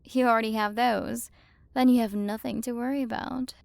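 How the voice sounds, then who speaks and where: Woman acting a soft, creaky, haughty alien queen. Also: a little high, steady tone, moderate pace, no echo. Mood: condescending, curious. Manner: soft, condescending, teasing, at times whispered. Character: haughty, curious alien galaxy queen, possessive of her human. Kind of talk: ASMR roleplay.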